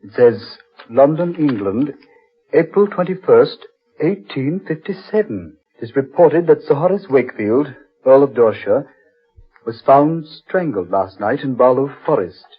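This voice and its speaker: Rough husky guy earnest spooked type, old radio horror, muffled with music behind. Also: medium pitch, animated, unhurried. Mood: solemn reading. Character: earnest, impressionable man sensing creeping evil.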